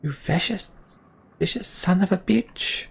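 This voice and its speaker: A man's voice: sits high, unhurried, gravelly, husky, voice pushed up, heavily acted. A man voicing an outraged, distrustful fantasy game leader, indignant and accusing toward a captive enemy. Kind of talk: dramatic reading of RPG dialogue.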